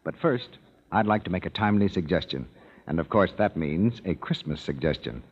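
1930s radio announcer, husky and lively, muffled broadcast from a reverberant hall. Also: male voice, sits low, normal speed. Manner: smooth, persuasive, instructive. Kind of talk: 1930s radio commercial reading.

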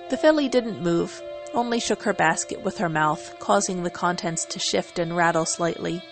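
A woman's voice: on the low side, moderate pace, over music. A woman narrating a story in a dramatic reading style.

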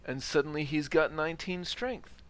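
A man's voice: a little high, normal speed, a little hiss or hum. A man giving casual, methodical gameplay commentary, thinking aloud and reading out stats. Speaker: gaming video host. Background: game ambience.

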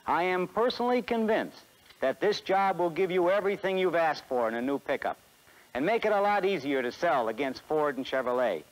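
A man's voice: on the high side, lively intonation, brisk, rough recording. A man in his forties with an American accent giving a scripted sales presentation in a 1960s promotional film. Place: film studio beside a truck.

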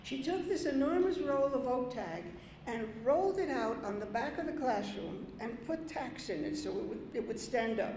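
An older woman from the Dominican Republic, speaking at a podium before a poetry reading, unhurried and anecdotal with small asides. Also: high-pitched, expressive, a little mellow. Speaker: poet giving a reading. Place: large conference hall, at a podium. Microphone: podium mic on a gooseneck stand. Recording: very echoey hall with faint noise.

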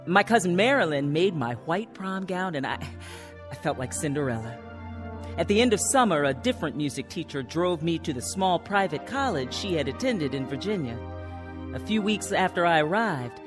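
An actress playing an earnest, determined young woman who recounts her student years in a radio drama. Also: low-pitched. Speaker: narrator and lead actor. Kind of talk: radio drama acting and first-person narration. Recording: reverberant radio drama with music.

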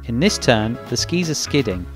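A man narrating a ski lesson as a calm, measured voice-over. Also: medium pitch. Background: guitar music.

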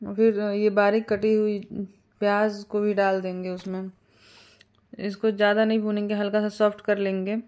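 Woman, cooking host, calm step-by-step explainer, mellow and even delivery. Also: medium pitch, moderate pace. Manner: calm step-by-step instructions with small fillers. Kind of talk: home cooking tutorial voice-over.

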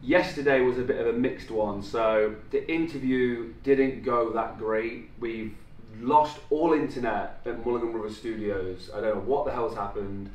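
A man's voice: medium pitch, steady tone, moderate pace, hardly any pauses. Chatty young man in a roomy space. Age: 20s. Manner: chatty, upbeat, loose with fillers. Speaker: vlogger and filmmaker. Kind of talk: casual vlog chat. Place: studio office and lounge indoors. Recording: roomy, distant, faint noise.

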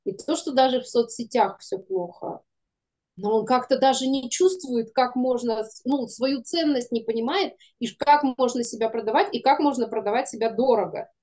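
A middle-aged woman with a fairly high voice and a very soft, mellow tone. She talks casually, as a guest in a conversation over a video call. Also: moderate pace. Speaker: guest, animation studio producer. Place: indoor room, video call.

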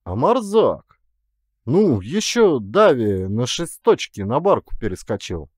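A man's voice: medium pitch, takes it slowly, no echo, clean studio sound. A man voicing an agitated, blustering bargeman who scolds and grumbles, in a theatrical audiobook style. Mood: indignant complaining. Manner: shouting, scolding, excitable. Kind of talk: theatrical audiobook character acting.

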